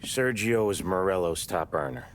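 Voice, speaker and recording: An actor playing a serious, loyal mobster in a video game, speaking in a matter-of-fact, businesslike way. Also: male voice, deep voice, expressive, normal speed, slight background noise. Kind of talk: dramatic video game cutscene acting.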